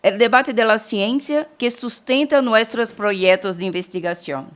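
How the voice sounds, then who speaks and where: A woman's voice: a little high, normal speed. A Brazilian woman from Bahia giving an academic keynote lecture, blending Portuguese and Spanish with frequent self-corrections and restarts. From Salvador, Bahia, Brazil.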